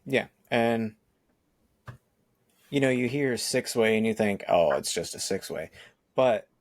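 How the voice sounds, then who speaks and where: A man in his forties with a low, gravelly voice, talking casually on a podcast. He rambles through long thoughts, circling back and restarting as he goes. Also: expressive, takes it slowly. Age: 40s. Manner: rambling, restarts often, laughs easily. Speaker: podcast co-host. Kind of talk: casual chat.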